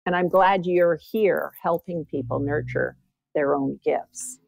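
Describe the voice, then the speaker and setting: A woman's voice: low-pitched, measured pace, gravelly, darkish tone, breathy edge, no echo, rough recording. A woman guest and former teacher with a big voice, telling personal stories in an interview. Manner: big voice, anecdotal storytelling. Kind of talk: interview storytelling.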